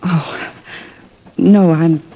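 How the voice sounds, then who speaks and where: On a dull vintage radio track, a wistful, unsure woman. Her voice is breathy and veiled, and she speaks hesitantly and apologetically in earnest period drama style. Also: fairly low pitch, fairly even intonation, drawn-out words. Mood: shy, self-deprecating. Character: nervous, self-doubting, wistful single woman client. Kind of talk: 1950s radio drama acting. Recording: muffled old-time radio recording.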